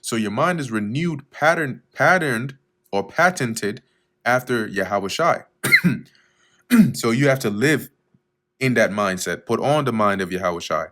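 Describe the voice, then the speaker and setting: A man teaching verse by verse through scripture, reading each verse slowly and then explaining it in a casual way with fillers, often clearing his throat. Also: medium pitch, animated, a bit bright. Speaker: Bible teacher.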